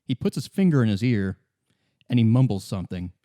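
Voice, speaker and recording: A man's voice: on the low side, big pitch swings, brisk, warm tone, no echo, clean studio sound. A man working as game master, narrating a tabletop story in a conversational, improvised way.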